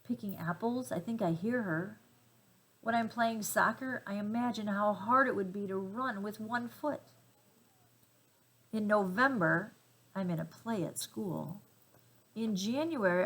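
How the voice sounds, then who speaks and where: A woman reading a children's storybook aloud with gentle, deliberate phrasing and dramatic emphasis. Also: medium pitch, breathy edge, poor audio. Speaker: storybook reader.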